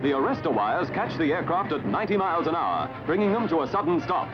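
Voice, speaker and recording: A man's voice: on the high side, sing-song, fast, dull audio, over music. A man with an Australian accent narrating in scripted 1950s newsreel style, with a theatrical, jaunty announcer delivery. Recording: band-limited vintage 1950s newsreel soundtrack.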